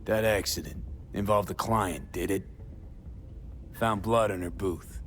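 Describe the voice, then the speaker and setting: An actor playing a blunt male mercenary who asks short, direct questions over soft club music. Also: sits low, fairly even intonation, a little slow. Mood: probing. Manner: terse, direct, probing.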